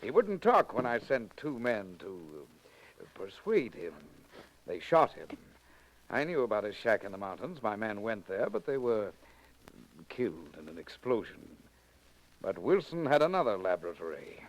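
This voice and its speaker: Menacing radio villain, wildly swooping delivery. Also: male voice, fairly low pitch, very animated, moderate pace, rough recording. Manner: theatrical, threatening, formal phrasing. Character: menacing male villain, impatient, mocking kidnapper and interrogator. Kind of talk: 1940s radio drama acting.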